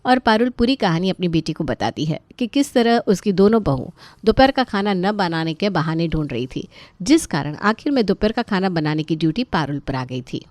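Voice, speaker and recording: A woman's voice: lowish voice, expressive, moderate pace, darkish tone, no room sound. A woman reading scripted story narration.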